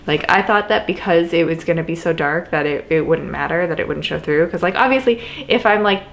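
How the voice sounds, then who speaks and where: A woman's voice: on the low side, a little fast, vocal fry. A young woman with a stuffy, sniffly nose, chatting casually to camera about her cosplay. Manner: chatty, laughs often, many fillers. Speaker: cosplay vlogger.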